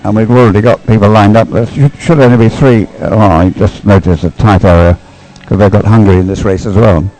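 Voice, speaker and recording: A man's voice: lowish voice, brisk, hardly any pauses, warm tone, soft background music, poor audio. A man giving unscripted live sports commentary in a hesitant, rambling, stop-start way.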